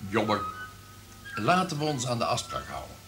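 An actor playing a scheming, grandiose radio director with a commanding manner in a radio play. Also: male voice, medium pitch, wildly swooping pitch, normal speed, over music.

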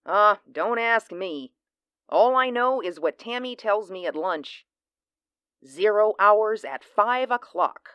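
A chatty, casual housewife, played by a woman in a radio drama. Her mid-pitched voice is lively and easygoing, recorded close and dry. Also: takes it slowly, smooth, no echo, dull audio. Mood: gossipy. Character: chatty suburban housewife, an old friend, easygoing. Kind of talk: audio drama acting.

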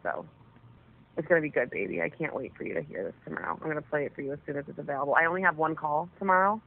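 A woman's voice: sits low, expressive, rapid-fire, vocal fry. A woman chatting casually on a phone call, often swearing as she talks. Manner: frequent swearing. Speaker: caller on a jail phone call. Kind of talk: casual chat. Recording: noisy narrowband telephone line.

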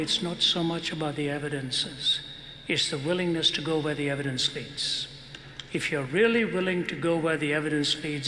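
An older man lectures from a big arena stage, measured and emphatic. His voice is bright and sits a little high. The sound rings with long hall reverb over a faint hiss. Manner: measured, emphatic, quotes at length. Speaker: speaker. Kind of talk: lecture answering audience questions. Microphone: handheld mic.

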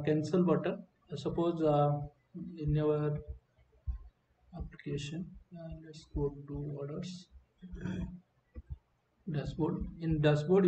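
A man giving an unscripted screen-recording walkthrough as a software demonstrator, speaking in a halting, hesitant way. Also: a little high. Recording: muffled, roomy, faint background noise.

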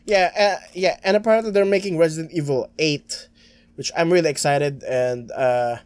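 A man's voice: a little high, moderate pace, darkish tone, clear, resonant tone, no echo. A young man with a Filipino accent, chatting casually as a gaming streamer, rambling with many restarts and stammers. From Philippines. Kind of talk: casual let's-play commentary. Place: small room at home, green screen. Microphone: headset.

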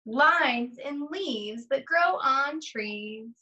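A woman's voice: high-pitched, expressive, very slow, pushed and bright, dull audio. A young adult woman, an art teacher, leading a read-aloud lesson for children with simple questions and prompts.

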